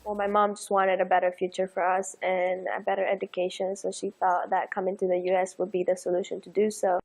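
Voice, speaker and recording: A woman's voice: medium pitch, level tone, brisk. A woman in her twenties from El Salvador, speaking in an earnest, reflective way in a documentary interview. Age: 20s.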